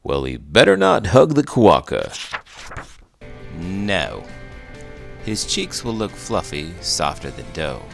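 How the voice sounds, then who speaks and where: Guy doing a cheeky talking horse for kids storytime, super gravelly n slow, really hammy. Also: low-pitched, huge pitch swings, soft background music, full character voice. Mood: playful, teasing. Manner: theatrical, exaggerated. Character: Tango, a talking male horse, cheeky, curious storybook sidekick. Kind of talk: read-aloud children's storytelling with banter.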